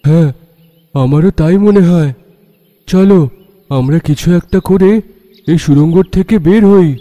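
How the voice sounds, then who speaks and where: A man's voice: on the high side, a little slow, warm tone, close to an everyday voice. A man playing an earnest, determined young adventurer in cartoon dialogue, with a matter-of-fact manner.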